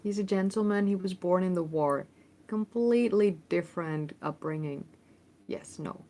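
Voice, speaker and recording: Chatty Dutch woman, lowish voice. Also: normal speed, mellow. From Netherlands. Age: 30s. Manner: chatty, thinks aloud with many ums and restarts. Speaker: history YouTuber and host. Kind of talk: casual livestream Q&A, reading chat questions. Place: temporary room in a family home. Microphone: phone microphone.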